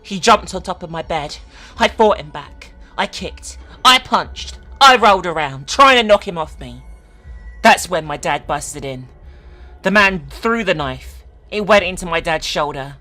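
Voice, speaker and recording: A woman's voice: on the low side, expressive, measured pace, sharp tone. Frightened young boy played by a woman narrator, earnest and suspenseful horror-tale testimony over music. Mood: shaken, urgent. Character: frightened young boy recounting a night attack. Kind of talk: dramatic creepypasta reading. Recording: music behind the voice.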